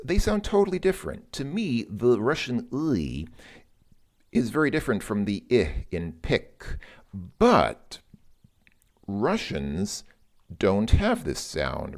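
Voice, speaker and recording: Man, very low voice with wide swings, casual vlog musings, loose and repetitive. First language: English. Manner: musing, loose, repeats words while thinking. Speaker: language learner vlogger. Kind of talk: casual spoken monologue.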